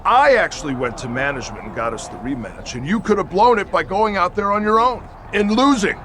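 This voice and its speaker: An actor playing a stern, commanding veteran wrestler who leads a faction in a video game, talking curtly and with authority. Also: male voice, high voice, animated, brisk, sharp tone, slight background noise. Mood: insistent. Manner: curt and authoritative. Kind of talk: scripted video game cutscene acting.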